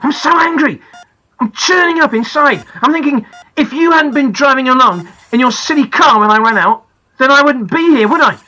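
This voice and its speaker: Woman doing a young lad telling his story, audiobook, bit husky, blunt and childlike. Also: medium pitch, very animated, a little slow, long pauses, fairly bright tone, heavily acted, rough recording. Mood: angry, sarcastic. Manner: plain-spoken, childlike, candid. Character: injured young boy narrating his thoughts, candid, indignant. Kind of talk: first-person audiobook narration.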